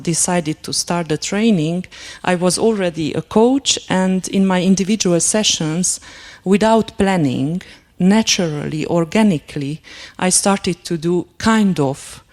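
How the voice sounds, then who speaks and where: Slow, low-voiced woman lecturer. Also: darkish tone. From Transylvania, Romania. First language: Hungarian. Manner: halting, many fillers and restarts. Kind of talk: personal story in a lecture.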